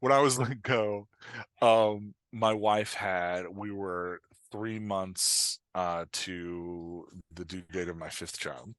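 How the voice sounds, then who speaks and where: A man's voice: sits low, slow, fairly bright tone, clean tone, no echo. A middle-aged man speaking candidly and conversationally as an interview guest, self-deprecating and acting out imagined remarks. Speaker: podcast guest, fiction writer and dialogue coach.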